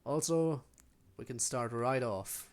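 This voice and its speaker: A man's voice: medium pitch, slow pace. A man streaming a video game, giving casual live commentary and thinking aloud as he plays. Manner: thinking aloud while playing.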